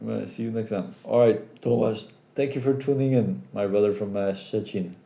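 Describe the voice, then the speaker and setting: A man's voice: on the low side, moderate pace, vocal fry, roomy sound. A young man with an American accent hosting a casual live chat and rambling through viewer questions. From Poland. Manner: relaxed, rambling, repeats himself. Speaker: live stream host.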